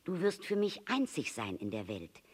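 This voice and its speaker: A storybook reader takes on an earnest fox with a wistful heart. The voice sits mid-range and moves in lively leaps, explaining with emphasis and pleading for friendship. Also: medium pitch, moderate pace, breathy edge. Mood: explanatory, earnest. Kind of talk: storybook character reading.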